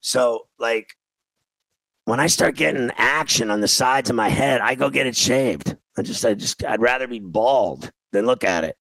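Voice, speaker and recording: A man in his fifties with a gravelly, fry-laden voice, talking as a show host. He rambles in a casual, exasperated way, poking fun at himself with slangy griping. The sound is close and very dry. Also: medium pitch, moderate pace, fairly bright tone, no echo, rough recording. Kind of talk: casual rambling comic rant.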